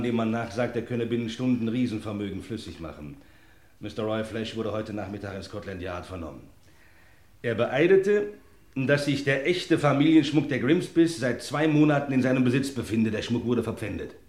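An actor playing a matter-of-fact, methodical police inspector in a German radio crime play. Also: male voice, medium pitch, lively intonation, moderate pace, a little mellow, echoey room, natural voice. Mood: stern, official. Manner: methodical, official.

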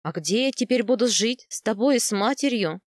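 A woman's voice: fairly high pitch, expressive, brisk, no room sound, clean studio sound. A woman voicing a stubborn, protective young warrior man who talks bluntly in a fantasy audiobook. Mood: matter-of-fact.

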